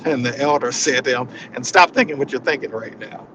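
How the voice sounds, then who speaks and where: A man giving an informal inspirational talk in a reflective, halting way, often repeating his phrases. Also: medium pitch, dull audio, noisy background. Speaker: spiritual session leader.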